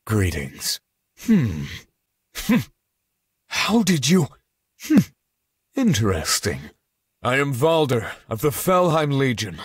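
A man playing a grim, imperious necromancer warlord in a fantasy video game, with a raspy, theatrical delivery. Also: medium pitch, huge pitch swings, no room sound, clean studio sound, heavily acted. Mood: calm, brooding. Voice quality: husky, raspy. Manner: slow, imperious, dramatic, formal diction. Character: grim, commanding undead-raising necromancer warlord.

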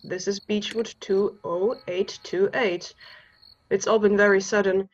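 A woman's voice: mid-range voice, fairly even intonation, a little slow, highs cut off, natural voice. A woman playing a polite, reserved, formal stranger who answers a household telephone in a radio play. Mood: grave.

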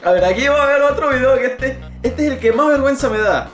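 A man's voice: very high voice, animated, quick pace, a bit bright. A young man giving casual reaction commentary, jokey and embarrassed about himself. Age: young adult. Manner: self-mocking, embarrassed, jokey. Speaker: video creator reacting to own old videos. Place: small bedroom indoors. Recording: echoey bedroom with faint music. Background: music.

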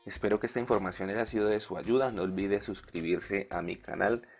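This is a Colombian man with a fairly deep voice and a strong gravelly creak. He talks as a tutorial host, guiding listeners one step after another. Faint music plays behind his voice-over. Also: a little fast. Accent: Colombian Spanish. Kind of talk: step-by-step app tutorial narration.

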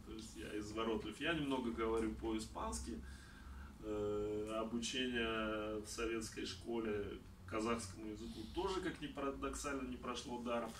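A man in his thirties from Kazakhstan, talking about travel and answering questions in an animated, gesturing way in a small indoor room. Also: lowish voice, unhurried. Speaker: traveler giving a talk. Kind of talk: conversational talk answering audience questions. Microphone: far camera. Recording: roomy, distant, faint background noise.

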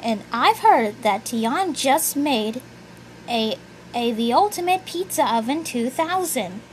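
Woman as a high, slow-talking cartoon girl. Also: lively intonation, a little hiss or hum. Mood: matter-of-fact. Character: helpful, peacemaking cartoon girl.

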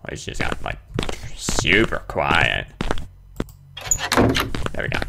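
A man's voice: a bass voice, sing-song, slow pace, creaky, background noise. A man giving casual let's play commentary on a horror game, muttering asides, exclaiming nervously and making playful little noises. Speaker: gamer commentating on his horror game playthrough.

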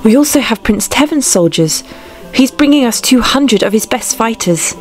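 A woman's voice: on the high side, animated, moderate pace, soft background music, close to an everyday voice. A woman voicing a determined young warrior princess, earnest and resolute, in a fan dub.

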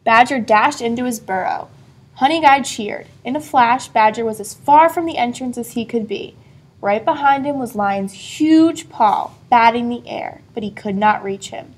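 Woman doing a kids read-aloud, highish voice, expressive, chats with the kid as she goes. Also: normal speed, breathy edge. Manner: asks a child engaging questions. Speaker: storybook reader.

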